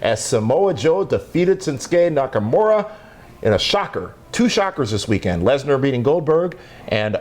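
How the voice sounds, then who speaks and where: A man's voice: sing-song, normal speed, darkish tone. A man hosting a wrestling talk show, running through the news and needling his co-host. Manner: teasing, roasts his co-host, pitches the show to viewers.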